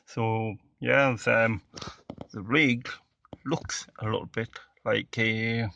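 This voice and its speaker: A man's voice: fairly low pitch, steady tone, takes it slowly, creaky, bright, forceful tone, dull audio, a little hiss or hum. A man giving a casual, hesitant, matter-of-fact demonstration of a piece of equipment as a hobbyist presenter.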